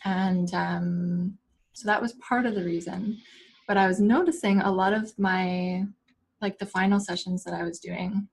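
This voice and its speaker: A woman with a slightly husky voice hosts a relaxed conversation. She talks in a chatty, filler-heavy way and acts out little quoted remarks. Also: on the low side, unhurried. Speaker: interview host. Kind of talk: casual conversational interview.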